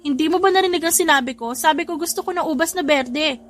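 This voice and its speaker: Woman acting a snappish, high-pitched pregnant vampire wife. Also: quick pace, close to an everyday voice. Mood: irritated, demanding. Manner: snappish, demanding, scolding. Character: bossy, irritable pregnant vampire wife, a countess. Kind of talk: melodramatic audio drama acting. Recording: music behind the voice.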